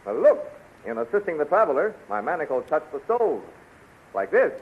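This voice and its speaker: An actor playing a cunning, quietly commanding captive captain in a 1930s radio serial, giving clipped, measured lines. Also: male voice, on the high side, very animated, breathy edge. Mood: bluffing. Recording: muffled, noisy 1930s radio recording.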